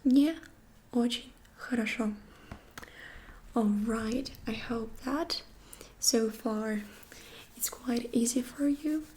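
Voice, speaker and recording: A woman whispers her way through a gentle ASMR language lesson. Her voice sits a little high and mellow, and she takes her time over every phrase, patient and unhurried. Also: slight background noise. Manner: soft whisper, slow patient explaining. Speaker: Russian language teacher.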